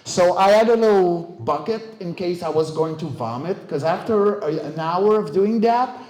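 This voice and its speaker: A middle-aged man giving an informal talk about his work as a voice performer, telling anecdotes in an animated, casual way. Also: high voice, moderate pace. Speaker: voice actor, director and coach giving a talk. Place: indoor event room, live talk. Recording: roomy, echoey live talk recording.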